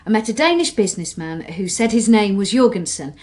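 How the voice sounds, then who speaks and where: A woman's voice: medium pitch, expressive, a little fast, a little mellow, close to an everyday voice. An actress playing an earnest young police assistant in her twenties in a radio drama, hesitant but insistent. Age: 20s.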